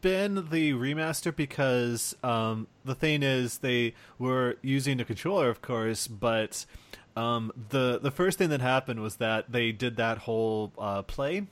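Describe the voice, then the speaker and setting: Male podcast host, average pitch, casual and conversational, hesitant and meandering in his explanations. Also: unhurried. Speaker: podcast host discussing video games. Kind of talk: casual chat.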